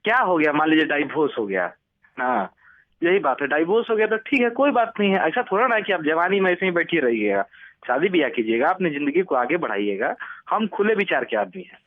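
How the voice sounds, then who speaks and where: An eager forty-year-old Bihari suitor played by a man pleads his case in a fairly high male voice. He rambles on pushily and talks over the listener, as comic prank calls go, over a narrow phone line. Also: very animated, fairly quick. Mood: eager, pushy. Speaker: radio prank caller.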